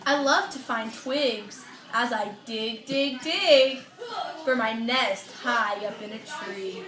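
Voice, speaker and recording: A woman's voice: a little high, slow, roomy sound, crowd noise. A woman doing a parody read-aloud of a children's picture book, rambling through ad-libbed asides in a theatrical, emotional way. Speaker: comedic storybook reader.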